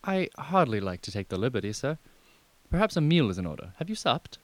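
A man's voice: medium pitch, very animated, fairly quick, no echo, lightly acted. A man playing an unflappable, discreet valet in a radio drama, deferential and formally understated. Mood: discreet, composed.

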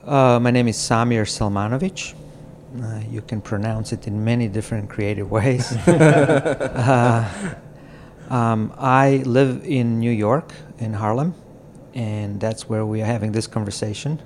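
A middle-aged man from Croatia talks slowly and thoughtfully, pausing often between phrases and laughing softly. His pace is unhurried, and faint room ambience sits behind him. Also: mid-range voice, a little hiss or hum. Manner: slow, halting, with frequent pauses and soft laughs. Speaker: podcast guest. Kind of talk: reflective conversation. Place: apartment in a city.

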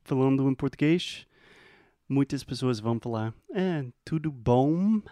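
An American man teaching English in a bilingual lesson, explaining patiently and modeling phrases slowly. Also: medium pitch, lively intonation, dark tone, no room sound, studio quality. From United States.

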